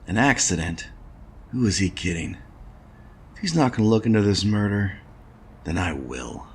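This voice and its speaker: A man's voice: lowish voice, lively intonation, moderate pace, a little mellow, a little hiss or hum, lightly acted. A man voicing a skeptical, stubborn space station repairman in a noir adventure game, with a wry, questioning manner. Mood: suspicious, determined. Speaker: video game protagonist. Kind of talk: noir point-and-click game voice acting.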